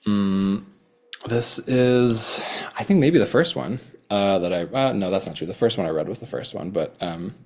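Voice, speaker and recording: A man reading his own poems slowly and deliberately, with hesitant, rambling remarks between them. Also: deep voice, a little mellow. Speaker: poet. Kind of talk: poetry reading with chatty asides.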